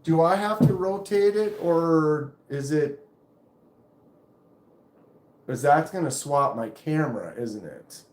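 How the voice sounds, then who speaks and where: Deep-voiced man chatting on a live stream. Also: slow, pauses often, roomy sound. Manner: relaxed, rambling, reads chat aloud. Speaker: knife channel live streamer. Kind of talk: casual live stream chat.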